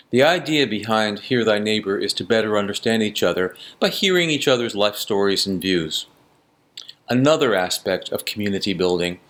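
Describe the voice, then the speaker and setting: Low-ish voiced man reading a script, calm and measured. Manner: measured, read-from-script delivery. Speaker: coalition spokesperson. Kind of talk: scripted narration.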